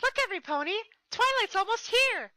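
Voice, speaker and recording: A performer voicing a bubbly, excitable young female pony, loud and eager, in a fan fiction reading. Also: very high voice, brisk, no room sound, pitched above the performer's own voice, full character voice. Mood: excited.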